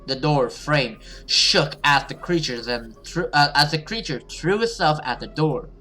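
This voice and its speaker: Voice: medium pitch, animated, a little slow, pushed and bright, faint music behind. A gaming video host who reads a horror story dramatically and adds casual commentary.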